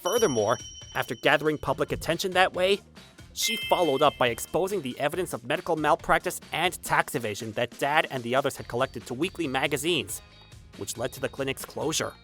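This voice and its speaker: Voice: mid-range voice, lively intonation, a little fast, a bit bright, soft background music, natural voice. An actor playing an earnest, forgiving teenage younger brother who also narrates in a manga dub. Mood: narrating. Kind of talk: anime-style manga dub acting and narration.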